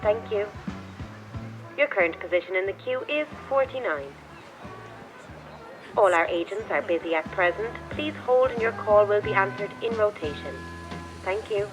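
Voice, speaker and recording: A woman's voice: medium pitch, lively intonation, moderate pace, pauses often, natural voice. A woman playing an automated helpline announcer, reading formal, impersonal hold messages. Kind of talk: scripted recorded phone announcement. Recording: dull phone-line sound with music.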